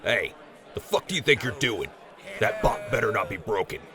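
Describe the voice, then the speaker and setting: A man acting as a gruff, brutal slave-breaking raider in an audio drama, blunt, coarse and coldly threatening. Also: fairly low pitch, big pitch swings, normal speed, heavy background noise. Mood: angry.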